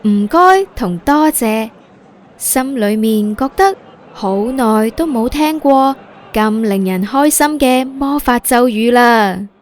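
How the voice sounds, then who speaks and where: High-voiced Hong Kong woman telling children's stories slowly. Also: animated. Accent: Hong Kong Cantonese. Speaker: children's story podcast host and narrator. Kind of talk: scripted storytelling for children.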